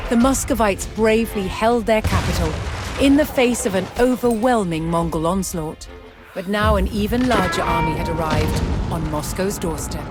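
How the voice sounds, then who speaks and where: Woman doing documentary style history narration, measured n dramatic, music behind her. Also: medium pitch. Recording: music under the voice.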